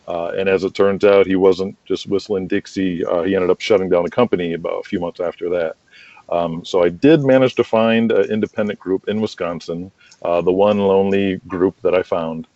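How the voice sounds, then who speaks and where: A man's voice: low voice, warm tone, dry recording, lo-fi sound. A middle-aged American man telling rambling anecdotes casually as an interview guest. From United States. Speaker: interview guest, wrestling promoter and manager.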